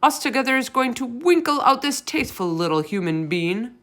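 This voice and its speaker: Woman playing a booming, brutish male giant. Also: sing-song, brisk, fairly bright tone. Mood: angry, insulting. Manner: booming, bullying, mangled childlike grammar. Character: huge brutish man-eating male giant, gruesome bully.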